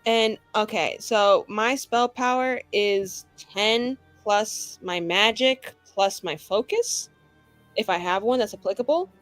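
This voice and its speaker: Woman tabletop player, chatty and loose, mid-pitched voice, casual swearing. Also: takes it slowly, fairly bright tone, no room sound, faint music behind. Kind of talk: casual game talk.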